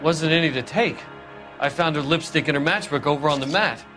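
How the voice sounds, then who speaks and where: An American actor playing a creepy, defiant rail yard worker in a video game, prissy and self-justifying. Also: male voice, on the high side, brisk, a bit bright, faint music behind, close to an everyday voice. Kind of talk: video game dramatic acting.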